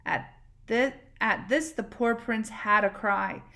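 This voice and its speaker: A woman reading a picture book aloud expressively, pausing to explain words and ask questions. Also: on the high side, vocal fry, sharp tone. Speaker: read-aloud storyteller, teacher.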